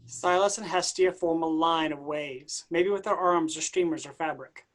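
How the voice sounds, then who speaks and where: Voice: mid-range voice, normal speed, a little hiss or hum. Someone reading out stage directions for a play reading, plain narration, mid-range voice.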